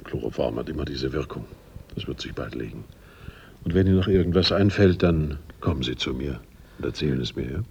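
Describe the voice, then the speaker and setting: An actor playing a composed, methodical police inspector, measured and courteous as he questions witnesses in a radio crime drama. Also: rumbling low, lively intonation, vocal fry, darkish tone, heavily acted. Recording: muffled radio drama recording, faint noise.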